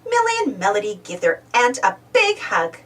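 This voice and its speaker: A woman's voice: on the high side, wildly swooping pitch, normal speed, a bit bright, recorded from a distance. A woman narrating a children's storybook as a scripted read-aloud.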